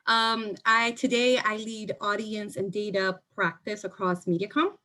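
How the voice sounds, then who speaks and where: A woman's voice: mid-range voice, level tone, unhurried, pushed and bright. A woman speaks on a panel in an earnest, reflective way. Her voice sits in a middle range, and she talks openly and sincerely. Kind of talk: panel discussion answer.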